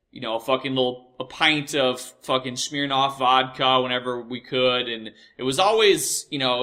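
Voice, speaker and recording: A young American man with a bright, forward voice at an average pitch. He tells personal stories loosely and casually, full of swearing, repeats and self-corrections. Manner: profane, repetitive, halting and self-correcting. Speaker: host of a personal storytelling show. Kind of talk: casual rambling personal storytelling.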